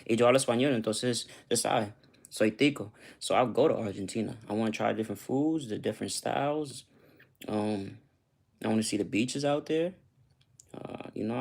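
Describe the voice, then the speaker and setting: A man's voice: mid-range voice, vocal fry, darkish tone. A man from Costa Rica, a YouTuber, chatting loosely with his viewers and often asking "you know what I'm saying". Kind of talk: casual livestream chat with viewers.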